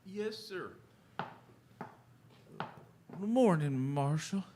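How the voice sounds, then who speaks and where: Guy playing a timid little cowboy musician, highish voice, slow country american, hesitant, faint noise. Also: animated, dark tone, exaggerated voice. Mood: meek. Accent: rural American. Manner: hesitant, meek. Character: mild, timid little male guitar player. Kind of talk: old-time radio western acting. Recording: light background noise.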